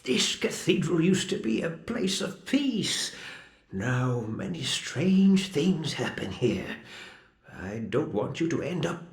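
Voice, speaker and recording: A secretive, protective cathedral ally gives ominous warnings with theatrical weight. The voice is fairly high and gravelly, slow yet swooping, echoing as in a game cutscene. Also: male voice, sing-song, heavily acted. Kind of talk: dramatic video game cutscene acting. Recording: echoey room.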